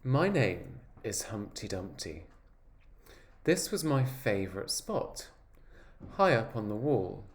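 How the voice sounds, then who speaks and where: A man voicing an earnest, wistful egg who tells his own story in a measured, reflective picture-book narration. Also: on the low side, sing-song, full character voice. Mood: matter-of-fact.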